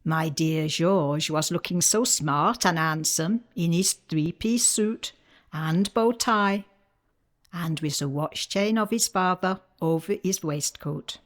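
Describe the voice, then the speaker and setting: A woman playing a tender, dignified French widow of the 1890s in a radio play monologue, speaking with a French accent in a measured, wistful way. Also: lowish voice. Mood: fond, wistful.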